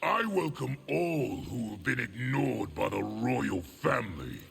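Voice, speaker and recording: Solemn guardian god, lowish, heavy creak, animated pitch, video game. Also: male voice, a little slow, slight background noise, exaggerated voice. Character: solemn, measured male god and protector. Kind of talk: video game fight-intro dialogue.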